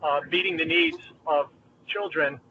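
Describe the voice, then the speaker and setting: A man with an East Coast US accent, a dean of education, giving fluent, measured, opinionated remarks on a conference call. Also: a little high, fairly even intonation, bright, forceful tone. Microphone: phone or conference line. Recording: tinny phone line with faint noise.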